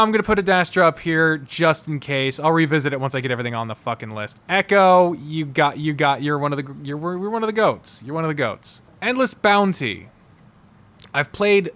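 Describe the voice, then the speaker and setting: Higher-pitched man, clear voice, casual commentary. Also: a bit bright. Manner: informal, frequent swearing; rambling, thinking aloud. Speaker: host.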